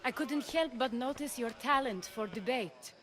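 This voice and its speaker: An actress playing a poised, shrewd society hostess of ancient Greece in a video game, composed and politely commanding. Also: female voice, on the high side, expressive, normal speed, a bit bright, soft background music. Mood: gracious, knowing. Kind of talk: dramatic video game dialogue.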